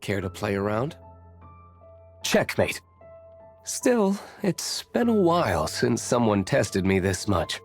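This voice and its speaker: An actor playing an aloof, brooding young nobleman warrior in a video game, reserved and formal, speaking in measured, curt lines. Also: lowish voice, big pitch swings, breathy edge, soft background music, heavily acted.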